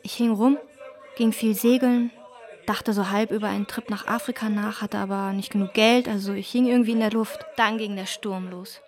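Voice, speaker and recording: Eine Schauspielerin spielt eine junge, unbeschwerte Absolventin, die in einem Hörspielmonolog ihre Erinnerungen erzählt. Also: female voice, mid-range voice, fairly even intonation, moderate pace, lightly acted. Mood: relaxed recollection. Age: young adult. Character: young female graduate, easygoing, recalling events. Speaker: radio drama actor. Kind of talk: dramatic first-person monologue.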